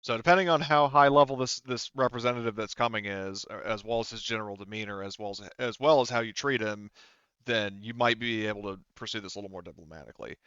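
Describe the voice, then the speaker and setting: Smooth low-voiced man running a game. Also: quick pace, a bit bright, no room sound, lo-fi sound. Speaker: game master. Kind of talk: casual explanation of a tabletop game scene.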